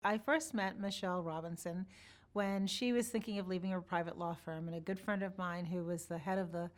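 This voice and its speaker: A woman in an interview, telling personal stories in a measured, thoughtful way with pauses. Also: lowish voice, fairly even intonation. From born in Iran, grew up partly in Chicago. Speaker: interview guest. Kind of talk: reflective personal storytelling.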